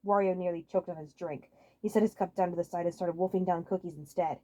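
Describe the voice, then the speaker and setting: A woman's voice: on the low side, steady tone, fast, warm tone. A woman reading fan fiction aloud as a scripted story narrator on a home microphone.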